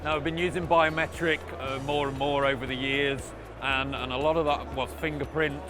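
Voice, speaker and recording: A man's voice: mid-range voice, little pitch movement, normal speed. A man hosting a conversational product interview, explaining points and prompting his guest with tag questions. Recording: background music under the voice.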